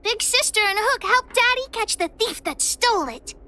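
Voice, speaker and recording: A woman's voice: very high-pitched, animated, normal speed, bright, forceful tone, heavily acted. A woman voicing an earnest, childlike little girl devoted to her daddy in a video game. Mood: tearful, guilty. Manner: speaks of herself in third person, childish.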